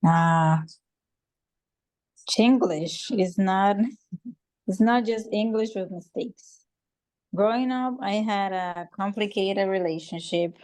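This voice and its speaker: A woman participant reading a passage aloud on a video call, pausing to apologize now and then. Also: mid-range voice, darkish tone, dry recording, poor audio. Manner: reads haltingly with apologies. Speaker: workshop participant.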